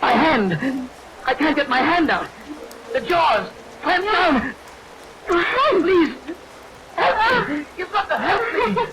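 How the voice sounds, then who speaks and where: An actor playing a nervous, evasive man hiding guilt, halting and apologetic, in vintage radio thriller style. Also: very high voice, big pitch swings, exaggerated voice. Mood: screaming terror. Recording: vintage radio recording, hiss, rain behind.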